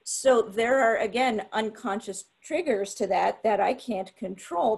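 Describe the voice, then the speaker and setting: A woman scientist speaking frankly on a webinar panel, deliberate and restarting often. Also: mid-range voice, creaky, darkish tone. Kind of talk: panel discussion answer. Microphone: webinar video call.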